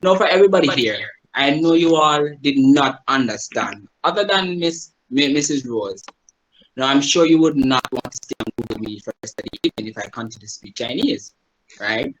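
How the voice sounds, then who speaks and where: A man's voice: mid-range voice, animated, a little fast, fairly bright tone, muffled recording. A Jamaican man, a Chinese teacher, explaining things to a group on a video call and often checking in with "right?". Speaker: guest presenter, Chinese teacher. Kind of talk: online presentation to a group.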